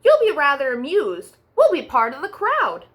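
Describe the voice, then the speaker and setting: A woman voicing a small female field mouse with a squeaky, chirpy voice in a storybook reading. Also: wildly swooping pitch, moderate pace, a bit bright, exaggerated voice.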